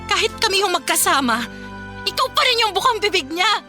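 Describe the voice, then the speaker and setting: Bitter, betrayed sister, Filipino radio drama; high, bright, accusing, music behind. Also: female voice, very animated, fairly quick, exaggerated voice. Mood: hurt, accusing. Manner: accusing, emotional delivery. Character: hurt, bitter younger woman confronting her sister.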